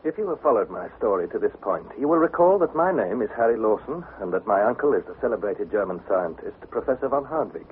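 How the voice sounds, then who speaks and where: A man's voice: on the low side, lively intonation, quick pace, hardly any pauses, close to an everyday voice. An actor playing an earnest young adventurer, narrating his perilous journey in a formal, dramatic vintage radio style. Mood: grave, suspenseful. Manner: formal, precise, dramatic storytelling. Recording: tinny, noisy 1960s radio recording.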